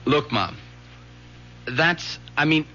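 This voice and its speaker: An actor playing an evasive, earnest teenage son in a 1970s radio drama, speaking hesitantly. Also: male voice, on the high side, animated, slow, pushed and bright, heavily acted. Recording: muffled old radio recording, faint hum.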